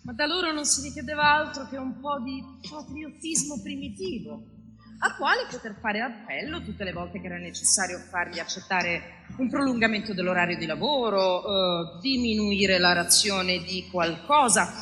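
A woman's voice: medium pitch, animated, moderate pace, pushed and bright. A woman giving a dramatic theatrical reading, recorded with long hall reverb. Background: music.

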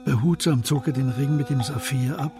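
A man narrating a radio crime drama as a sober storyteller, in a scripted literary style with a very husky voice. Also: mid-range voice, normal speed, music in the background, lightly acted. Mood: hushed, tender. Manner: sober, descriptive.

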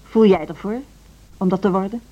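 A dedicated music school director , played by a middle-aged woman in a radio play. She speaks earnestly and rather formally at a medium pitch. The recording sounds dull and band-limited. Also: normal speed, lightly acted. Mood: earnest, persuasive. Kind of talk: Dutch social radio drama acting.

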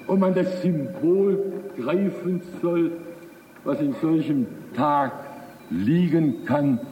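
A man giving a solemn, halting speech, deliberate and grave, with rhetorical questions. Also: fairly high pitch, mellow. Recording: roomy archive recording, distant mic, faint noise.

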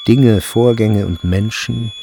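A man giving measured, deliberate narration in a literary radio drama. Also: fairly low pitch, steady tone, vocal fry, mellow, slight background noise.

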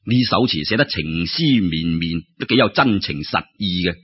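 A man with a Cantonese accent telling a story in an expressive, colloquial way, with exclamations and rhetorical questions. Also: sits low, moderate pace, slightly rough. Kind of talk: animated traditional Cantonese storytelling narration. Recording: dull lo-fi audio.

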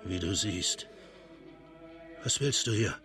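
A curt, low-voiced reformed crook. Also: male voice, lively intonation, slow pace, sharp tone, soft background music, full character voice. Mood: curt, wary. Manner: curt, guarded, firm. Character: reformed male crook living as medicine man, wary. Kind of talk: radio play acting.

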